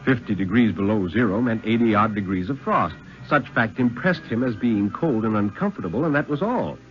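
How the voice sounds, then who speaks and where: An American man giving a solemn, deliberate dramatic reading of a story in the style of 1950s radio. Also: lowish voice, faint music behind. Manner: solemn, deliberate, expressive phrasing. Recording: muffled 1950s radio recording.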